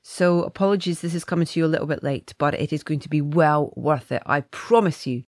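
A woman hosting a podcast, her voice a little gravelly, talking in a chatty, effusive way with many ums and restarts. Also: deep voice, brisk, no echo, clean studio sound. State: slightly gravelly, tired voice. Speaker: podcast host. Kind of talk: casual podcast chat and interview.

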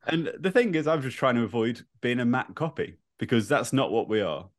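A man's voice: mid-range voice, expressive, brisk. A man co-hosting a podcast, speaking candidly and reassuringly to viewers, admitting he is a bit nervous. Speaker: podcast co-host. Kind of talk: earnest address to viewers.

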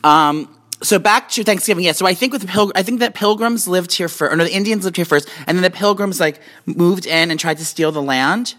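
Fast-talking, chatty American man. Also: sits high, pushed and bright. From New Jersey, USA. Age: late 30s. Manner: chatty, rambling, slangy. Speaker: podcast co-host. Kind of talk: casual chat, storytelling. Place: indoors at a home. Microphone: phone.

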